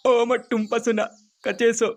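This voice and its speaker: Man playing a coaxing, high-voiced cartoon lover. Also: level tone, fast. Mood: coaxing, amorous. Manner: coaxing, sweet-talking. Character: smooth-talking secret lover, coaxing and cajoling. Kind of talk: crude comedy cartoon voice acting.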